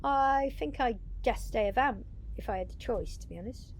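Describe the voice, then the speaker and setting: A woman's voice: on the high side, moderate pace, a little hiss or hum, natural voice, poor audio. A woman playing a confident, wry female vampire mentor in an audio roleplay, explaining things to one listener in a chatty, informal way. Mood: wry, teasing.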